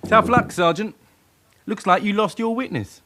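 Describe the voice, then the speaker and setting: Actor as a cocky young male suspect, higher-pitched. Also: normal speed, noisy background, natural voice. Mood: cocky, taunting. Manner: curt, cocky, sullen. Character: defiant twenty-one-year-old male suspect. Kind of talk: radio drama acting.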